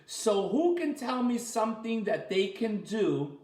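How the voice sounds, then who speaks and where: Male children's coach, high-pitched, encouraging and simple in his explanations, recorded in an echoey room. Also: very animated, darkish tone. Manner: encouraging, praising, explains slowly and simply with repetition. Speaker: martial arts coach leading children's story time. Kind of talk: interactive children's lesson, chatty.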